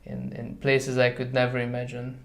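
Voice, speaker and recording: Creaky-voiced man with a Hungarian accent. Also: medium pitch, completely flat delivery, normal speed. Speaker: podcast guest. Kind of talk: casual conversational interview.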